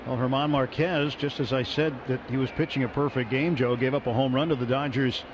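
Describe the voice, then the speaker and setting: A man doing radio commentary on a baseball game, chatting conversationally between pitches. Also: fairly even intonation, brisk. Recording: slightly muffled radio broadcast with ballpark noise.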